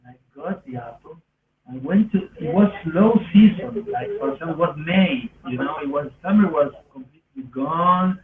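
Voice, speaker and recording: A man's voice: fairly high pitch, animated, normal speed, crowd noise. A man with an Argentinian accent, a native Spanish speaker, telling personal stories in earnest, rambling, non-native English on a podcast. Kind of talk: casual autobiographical storytelling. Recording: roomy, distant, phone-like thin sound.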